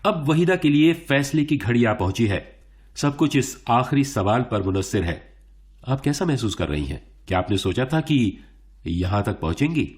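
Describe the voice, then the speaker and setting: An actor playing a suave, encouraging quiz show host in a radio play, announcing theatrically and building suspense. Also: male voice, mid-range voice, very animated, normal speed, a little mellow, a faint crowd, lightly acted. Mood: dramatic. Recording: music bed under the speech. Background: music.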